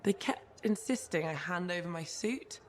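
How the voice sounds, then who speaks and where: A woman's voice: fairly low pitch, expressive, moderate pace, a little hiss or hum, close to an everyday voice. A woman playing a cocky, reckless troublemaker in a video game, talking casually and cheekily. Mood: casual, cheeky. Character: reckless cocky brawler freelancer, easygoing troublemaker. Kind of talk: video game cutscene acting.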